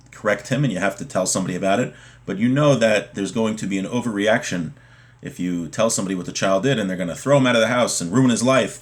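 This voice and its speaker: A man with a fairly low voice teaches in an informal, explanatory way. He works through a religious text phrase by phrase, restating each point in simpler words as a teacher would. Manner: explanatory, repeats and rephrases points. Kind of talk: informal text lesson, reading Hebrew and explaining it in English.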